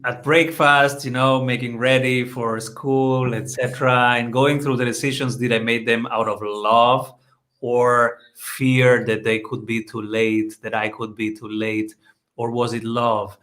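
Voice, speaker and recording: A man's voice: mid-range voice, flat delivery, measured pace, fairly bright tone. A man hosting an interview, introducing his guest in an upbeat, enthusiastic way. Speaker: podcast host.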